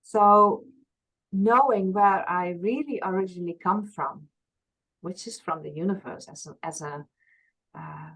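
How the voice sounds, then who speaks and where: A woman from Friesland with a Dutch accent, speaking as an interview guest, talking in a thoughtful, searching way with frequent restarts and soft trailing endings. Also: lowish voice, measured pace, breathy edge, rough recording. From Friesland, Netherlands. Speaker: interview guest, past life regression therapist. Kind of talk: reflective conversational interview.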